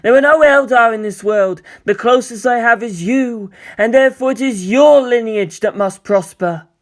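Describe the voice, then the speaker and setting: A man acts an alien elf guardian, pitched high and haughty. The storybook figure is possessive, imperious and scornful of mere humans. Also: normal speed, a bit bright. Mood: insistent. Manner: imperious, indignant.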